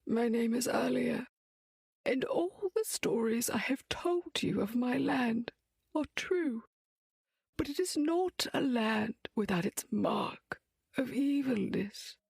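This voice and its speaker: A secretive, weary witch of dark fantasy audio drama. Her voice is very husky and creaky, often close to a whisper. She speaks slowly and haltingly, with grave weight. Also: on the high side, expressive, no room sound, exaggerated voice. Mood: grave, choked up. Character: weary, dying foreign witch, secretive and solemn.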